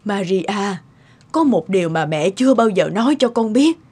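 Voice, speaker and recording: A woman playing a gentle, wise elderly mother in a cartoon, giving counsel. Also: mid-range voice, expressive, moderate pace, breathy edge. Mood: tender, reflective. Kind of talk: cartoon melodrama dubbing.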